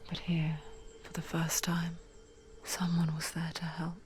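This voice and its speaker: Lady doing breathy whispered narrator voice for a dark game, slow and even, noisy behind. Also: on the low side, close to an everyday voice. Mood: hushed. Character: hushed inner-voice narrator of a haunted woman's past.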